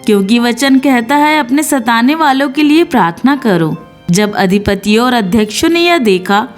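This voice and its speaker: High-voiced woman narrating devotional stories over music. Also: lively intonation, moderate pace. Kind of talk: scripted devotional storytelling narration with moral lessons. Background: background music.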